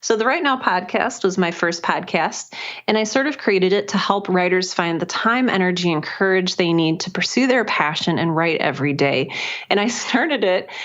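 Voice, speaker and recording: A woman's voice: on the low side, animated, moderate pace, lo-fi sound. A woman speaking as a podcast guest, explaining her own work in an earnest, chatty, conversational way. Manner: earnest, chatty, often laughs while talking.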